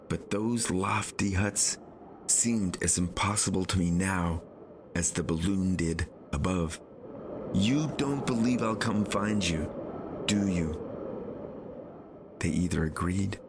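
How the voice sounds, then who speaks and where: A man's voice: low voice, a little hiss or hum. A man narrating a historical novel in a scripted, literary style, as an author reading his own audiobook.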